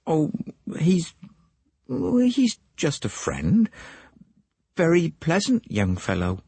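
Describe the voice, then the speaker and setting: Male narrator doing a fretful middle-aged wife, raised voice, husky, lively swings, dry sound. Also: on the high side, dry recording, voice pushed up, exaggerated voice. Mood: anxious. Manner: fretful, gossipy, quick to take offence. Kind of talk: audiobook character voice.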